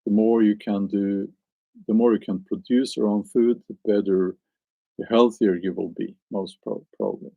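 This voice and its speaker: A man's voice: on the low side, steady tone, normal speed, creaky, no room sound, narrow, tinny audio. A man with a Norwegian accent, chatting on a live stream and answering his viewers, hesitant and musing as he searches for words. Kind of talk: casual live stream chat.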